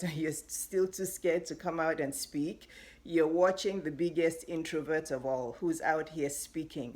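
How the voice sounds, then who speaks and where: A woman with a Nigerian accent talks in a low, easy voice, coaching her listeners on getting ahead. She is chatty and encouraging and laughs lightly between phrases. She sounds middle-aged and speaks straight to her audience. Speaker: life coach. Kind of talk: casual motivational talk to camera.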